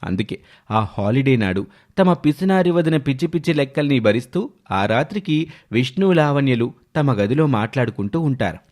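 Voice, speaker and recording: Guy reading out a story as the narrator, mid-pitched voice. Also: expressive, normal speed, darkish tone.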